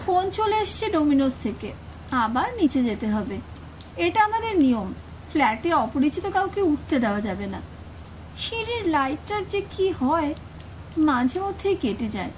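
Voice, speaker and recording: A woman narrating a first-person horror story in an expressive, suspenseful, dramatic scripted style. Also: very high voice, a little hiss or hum, rough recording. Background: atmospheric sound effects.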